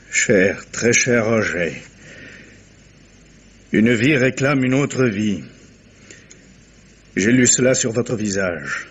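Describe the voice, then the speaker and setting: A man acting an anguished, stubborn gentleman with solemn, declamatory delivery in a 1950s French radio mystery. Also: medium pitch, breathy edge. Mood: solemn, fearful. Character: anguished, stubborn wealthy gentleman confiding a dark secret. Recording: noisy vintage radio recording.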